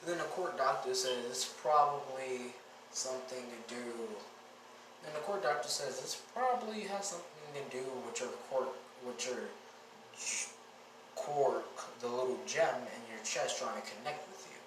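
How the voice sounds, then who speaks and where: A man's voice: mid-range voice, moderate pace. Guy w a stuffy cold, scratchy voice, rambling n fixing himself, noisy background. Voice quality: scratchy, raspy. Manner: rambling, with frequent self-corrections. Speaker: fan-fiction storyteller. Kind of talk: casual rambling storytelling. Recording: roomy, distant mic, noisy background.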